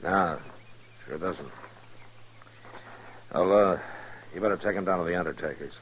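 An American actor playing a stern, weary frontier marshal in an old radio western, gruff and terse in his speech. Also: low-pitched, a little slow. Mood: grave. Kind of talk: 1950s radio western acting. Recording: old radio recording with crowd-like noise behind.

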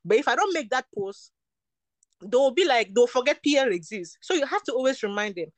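A woman's voice: a little high, lively intonation, brisk, no echo. A young woman from Cameroon, a beauty entrepreneur, talking candidly in an interview, emphatic and repeating her points. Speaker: guest, beauty product entrepreneur. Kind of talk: candid casual interview talk.

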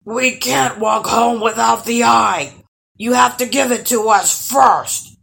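A woman's voice: on the high side, takes it slowly, gravelly, a bit bright, pitched above the performer's own voice, heavily acted. A woman voicing a huge male troll leader, a menacing bully who roars threats in a storybook tale. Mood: insistent. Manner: roaring, threatening.

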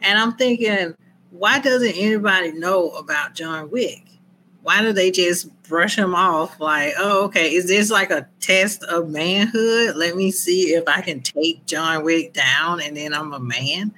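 A woman's voice: lowish voice, a little slow, sharp tone, a little hiss or hum, low-quality recording. A woman co-hosting a movie review podcast, chatting casually with joking asides.

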